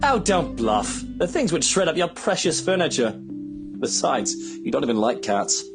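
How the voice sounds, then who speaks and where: A man's voice: fairly high pitch, very animated, moderate pace. A man playing a fussy, snobbish, wealthy perfectionist husband in a visual novel, pompous and bossy. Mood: weary, teasing. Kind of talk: visual novel character acting. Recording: guitar music behind the voice.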